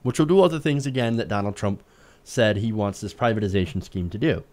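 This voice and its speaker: A man's voice: on the low side, brisk, darkish tone. Emphatic man giving political commentary. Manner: emphatic, argumentative, rhetorical questions. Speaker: political talk show co-host. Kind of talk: opinionated news commentary.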